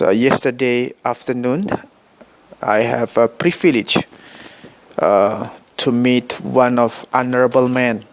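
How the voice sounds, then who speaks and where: A middle-aged man from Indonesia speaking English with an Indonesian accent, addressing his vlog viewers in slow, halting sentences full of pauses and self-corrections. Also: medium pitch. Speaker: vlogger. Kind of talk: direct-to-camera vlog address.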